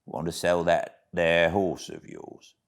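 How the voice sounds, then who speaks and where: Man voicing a low, offhand horse dealer. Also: very animated, slow, vocal fry, heavily acted. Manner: casual, offhand.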